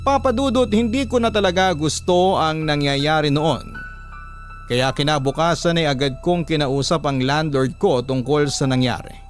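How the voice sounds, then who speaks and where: A man with a Filipino accent reading a first-person story as a dramatic radio narration. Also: fairly high pitch.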